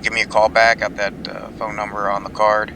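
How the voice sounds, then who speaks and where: An actor playing a folksy, apologetic small-town police chief in a fiction podcast, talking haltingly and conversationally as if leaving a message. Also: male voice, fairly low pitch, monotone, gravelly, noisy background. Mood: apologetic, businesslike. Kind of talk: fiction podcast acting, phone message monologue.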